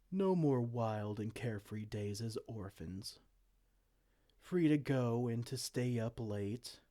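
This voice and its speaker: A man playing a vain, silly, soft-hearted evil king in a comedic fantasy roleplay, pompous and theatrical but slipping into casual dorkiness. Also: fairly low pitch. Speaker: audio roleplay performer.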